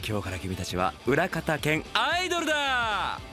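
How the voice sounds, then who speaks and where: A man's voice: a little high, wildly swooping pitch, normal speed, over music. A man acting a flippant, gleeful talent agency boss in an anime-style audio drama, playful and teasing. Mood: gleeful, scheming. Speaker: voice actor.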